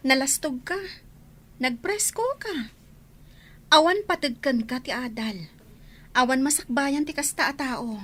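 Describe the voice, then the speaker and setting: A woman's voice: high-pitched, animated, a little slow, pauses often, a bit bright, full character voice. A woman voicing a frank, principled young female student in an Ilocano radio drama. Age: young adult. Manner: blunt, frank.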